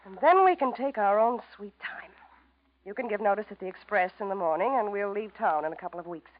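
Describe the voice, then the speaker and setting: An actress playing a cold, domineering, scheming wife in a 1940s radio noir, commanding and insistent. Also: female voice, mid-range voice, expressive, brisk, lightly acted. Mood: cold, businesslike. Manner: commanding, insistent, coolly calculating. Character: cold, domineering, scheming wife pushing her husband to murder. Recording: muffled old radio broadcast.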